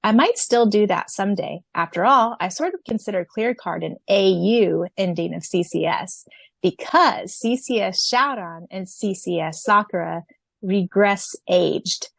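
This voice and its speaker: Woman podcast co-host and audiobook narrator with a mid-range, gravelly voice and a dry sound. Enthusiastic, quick to laugh. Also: medium pitch, dry recording. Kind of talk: casual chat and reading answers aloud.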